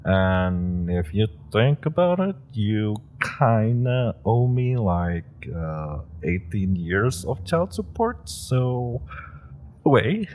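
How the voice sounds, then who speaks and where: A man's voice: on the low side, big pitch swings, drawn-out words, a little mellow, a little hiss or hum, full character voice. A man playing an eager, awkward young male super-powered rookie, rambling with nervous laughs and many fillers. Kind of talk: audio roleplay acting.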